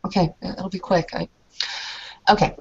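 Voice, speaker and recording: A woman playing an anxious, earnest young woman in a tabletop game, halting and full of questions. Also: fairly low pitch, steady tone, vocal fry, dull audio, slight background noise. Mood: grateful, hesitant.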